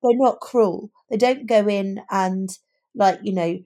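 A woman's voice: mid-range voice, takes it slowly, warm tone. A woman co-hosting a conversational book discussion, thinking aloud in long, emphatic explanations. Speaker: podcast co-host.

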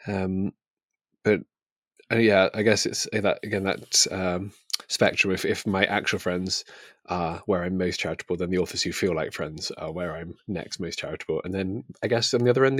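A British man with a low voice co-hosts a casual book podcast. He is chatty and self-deprecating, recorded close and dry. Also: fairly even intonation, normal speed, no room sound. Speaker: podcast co-host.

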